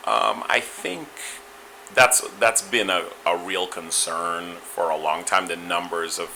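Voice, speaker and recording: A man with a Caribbean accent talks in a very low yet bright, ringing voice. He answers interview questions in a thoughtful, measured way, often restarting a phrase and adding "you know." A faint hum runs underneath. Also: poor audio. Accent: Caribbean, Guyanese and Trinidadian. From Guyana. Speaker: interview guest.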